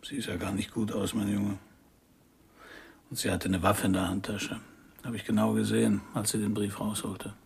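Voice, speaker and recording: An actor in a radio play playing a proud, boozy, ageing male jazz trumpeter with a gravelly, husky voice. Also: on the low side, flat delivery, moderate pace, heavily acted. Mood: subdued. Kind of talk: German radio play acting.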